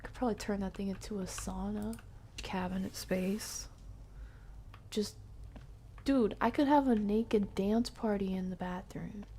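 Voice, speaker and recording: A woman streaming a game, chatting playfully with viewers and often dropping into hushed whispered asides. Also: mid-range voice, a little hiss or hum. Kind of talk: casual live-stream chat while playing.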